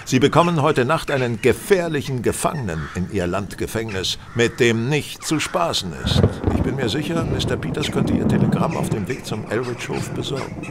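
Male actor as brilliant sleuth for radio play: precise, faintly condescending, lively intonation, loud background ambience. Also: medium pitch, normal speed, very noisy, natural voice. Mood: commanding, assured. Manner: precise, authoritative, slightly condescending. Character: brilliant, self-assured, commanding English detective. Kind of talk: German audio drama acting.

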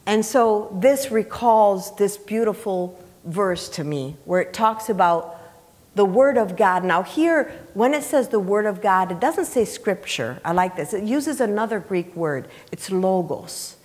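A woman's voice: mid-range voice, lively intonation, a little slow, darkish tone. A middle-aged woman preaching a sermon in an emphatic, conversational way, repeating phrases and asking rhetorical questions. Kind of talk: sermon, teaching scripture to a congregation. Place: church sanctuary, indoors, on the platform. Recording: reverberant hall, picked up in large room.

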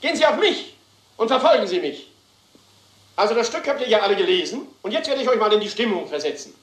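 Crime comedy: grandiose male stage director, theatrical and self-important, high register, very wide intonation. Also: sing-song, fast talker, reverberant. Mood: melodramatic. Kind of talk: dubbed German crime comedy acting.

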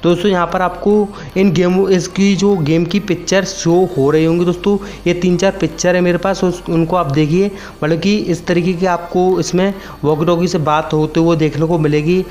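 A man's voice: sits high, fairly even intonation, normal speed, hardly any pauses, darkish tone. A young man giving a casual gaming update voice-over, repeating himself and addressing viewers as friends. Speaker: YouTube gaming channel host. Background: background music.

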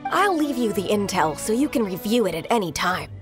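A woman voicing a measured informant. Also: mid-range voice, very animated, music in the background, close to an everyday voice. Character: grateful adult woman informant. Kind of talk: video game dialogue.

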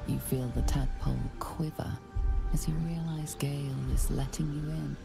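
A woman narrating a video game in the second person, in a slow, hushed, ominous way. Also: deep voice, steady tone, creaky. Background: loud game music.

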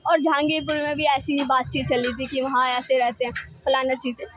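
A woman in her twenties interviewing as a street reporter, laying out the news haltingly and rephrasing as she goes. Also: high-pitched, level tone, fairly bright tone, no echo. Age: 20s. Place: outdoors in a busy market street. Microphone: handheld reporter's microphone. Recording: dull, dry street recording.

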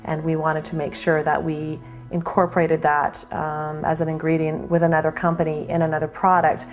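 A woman's voice: low voice, little pitch movement, moderate pace, faint music behind. A woman in her thirties, co-owner of a sea farm, speaking earnestly in a documentary interview. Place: indoors by a window over a bay.

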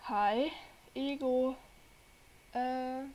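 A woman's voice: high-pitched, steady tone, very slow, a little mellow. A young woman with a cold hosting her gaming channel, chatting casually and rambling to viewers as she plays. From Germany. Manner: chatty, rambling, talks to viewers while playing. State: has a cold. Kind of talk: casual gameplay commentary. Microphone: desk microphone.

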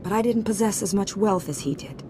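A woman playing a proud, resolute middle-aged senator in a video game, formal and measured. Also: mid-range voice, dark tone, lightly acted. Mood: earnest, defiant. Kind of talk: video game cutscene acting. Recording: game cutscene with clear ambient noise.

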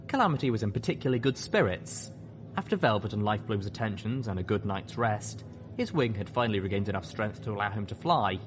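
A man's voice: fairly low pitch, animated, fast talker, slight background noise. A man reading a scripted first-person story as its narrator. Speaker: narrator and host.

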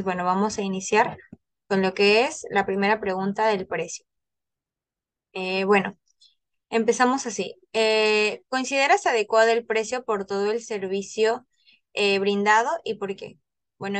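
A woman's voice: mid-range voice, fairly even intonation, a little slow. A young woman with a Peruvian accent, moderating a structured focus group on a video call. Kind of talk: structured group discussion, introducing questions.

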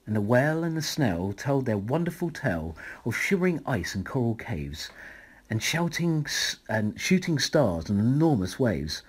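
A man reading a rhyming children's picture book aloud as a bedtime story, in a measured, dramatic way. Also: medium pitch, sing-song, slightly rough, low-quality recording.

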